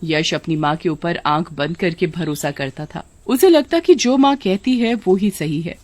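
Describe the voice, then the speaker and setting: A woman's voice: fairly low pitch, expressive, measured pace, a little mellow, no room sound. A woman giving scripted narration for a children's cartoon story.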